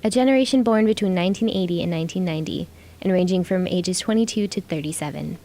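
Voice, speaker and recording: A woman's voice: on the low side, fast, mellow. An American woman reading scripted narration as a student reporter.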